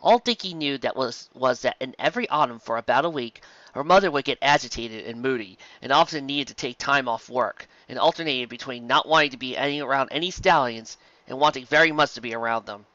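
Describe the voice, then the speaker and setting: A man's voice: mid-range voice, fairly quick, pushed and bright, dry recording, dull audio. A man reading a scripted story aloud as narrator. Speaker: narrator, reader.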